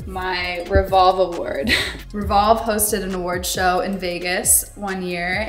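A woman in her twenties with an American accent, chatting casually and informally in a giggly way as a vlogger. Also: medium pitch. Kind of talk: casual house tour vlog chat. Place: inside a bright open-plan home. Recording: roomy echo, music underneath.

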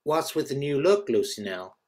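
A man acts out a plain-talking woman cashier at a screenplay table read. The voice is husky and lively in pitch, with a blunt, questioning manner. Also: normal speed. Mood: puzzled. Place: indoor room at home, on a video call.

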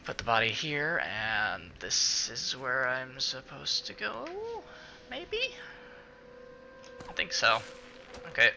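A man's voice: medium pitch, gravelly, highs cut off, noisy background. A man hosting a casual let's play, muttering, swearing and talking to the game's characters. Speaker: gaming video host. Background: game music.

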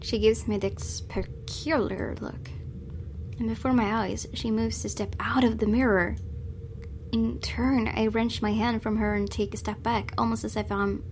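A woman's voice: on the high side, steady tone, unhurried, a little mellow, slight background noise. A woman hosting a let's play, reading a visual novel's narration aloud with drama.

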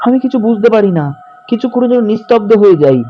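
A young man narrates a first-person ghost story in a suspenseful, conversational way, pausing for drama. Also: sits high, animated, muffled recording. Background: background music.

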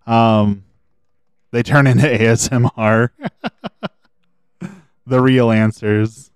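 A man streaming a video game, chatting casually and thinking aloud as he plays, his voice a little hoarse. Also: medium pitch, level tone, slow pace, no room sound. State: slightly hoarse. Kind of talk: casual gameplay commentary.

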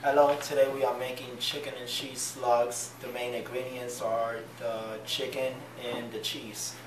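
A young man presenting in a small store, speaking in a plain, even way. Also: medium pitch, unhurried. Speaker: dog treat program staff. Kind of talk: scripted on-camera presentation. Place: small convenience store and kitchen, indoors. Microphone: far camera mic. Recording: distant camera mic with clear background music.

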